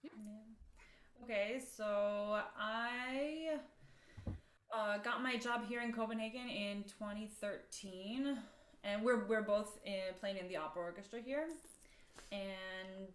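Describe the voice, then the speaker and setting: Thirties woman from Chicago, casual video-call guest, relaxed and chatty, roomy sound. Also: mid-range voice, slow. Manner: relaxed, laughs often, trails off with fillers. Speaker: violinist guest. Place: home room, shared with a colleague. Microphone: laptop video call. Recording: roomy video call with faint background hiss.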